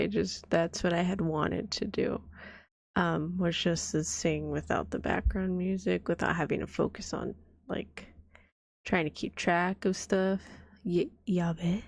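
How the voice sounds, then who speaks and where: A sick woman streamer talks in a low, deep, gravelly rasp, her voice hoarse and scratchy from a cold. She rambles in a soft, giggly way with frequent coughs. The sound is close and dry, with no room around it. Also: no echo. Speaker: vtuber streamer. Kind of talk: soft-spoken casual stream chat.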